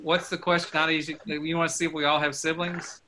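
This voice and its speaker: A man, a pastor, leading a small group on a video call in a friendly way, asking quick questions and laughing often. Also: fairly high pitch, flat delivery, bright, forceful tone, a little hiss or hum, poor audio.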